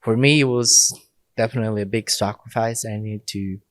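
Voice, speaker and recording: A young adult Mexican-born priest talks easily in a dry studio, in a lowish voice. His English has a first-language flow, broken into short phrases, with frequent laughs. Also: brisk, dry recording. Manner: relaxed, laughs often, speaks in short phrases. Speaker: priest, podcast guest. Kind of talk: casual interview talk.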